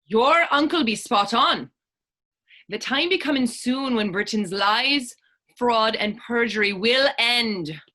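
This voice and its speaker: A woman's voice: on the high side, slow pace, pushed and bright, exaggerated voice. A woman playing Hannah, a spirited, determined Irish actress and patriot, in staged readers theater dialogue with a coaxing, earnest lilt. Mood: fervent.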